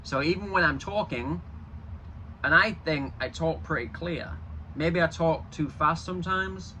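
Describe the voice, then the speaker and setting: A man's voice: a little high, measured pace, sharp tone, a little hiss or hum, rough recording. A young man from Yorkshire with a Yorkshire accent touched by a Canadian twang, chatting casually in a rambling vlog. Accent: Yorkshire English with a slight Canadian twang. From Yorkshire, northern England. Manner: chatty, rambling, with frequent restarts. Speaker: vlogger.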